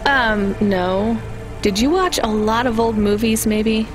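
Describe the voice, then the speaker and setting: A woman's voice: fairly high pitch, takes it slowly, over music. An actress playing a young woman delivery driver in a video game, a plain-spoken, guarded newcomer to the city. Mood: dry, curious.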